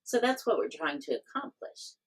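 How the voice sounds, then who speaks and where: A woman in her fifties, a professor and company founder, telling stories in a lively way in a podcast interview. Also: on the high side, moderate pace, slightly rough.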